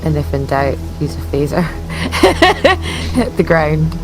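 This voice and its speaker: Slow-talking low-voiced woman player. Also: huge pitch swings, over music, poor audio. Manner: slow, laid-back, laughs often. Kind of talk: casual tabletop play.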